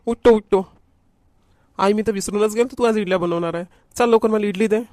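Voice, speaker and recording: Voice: medium pitch, fairly quick, darkish tone, no echo, studio quality, close to an everyday voice. A performer voicing a food-loving, whiny young schoolboy in a homemade comedy cartoon, with a Varhadi Marathi accent. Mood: eager. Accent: Varhadi Marathi from Vidarbha.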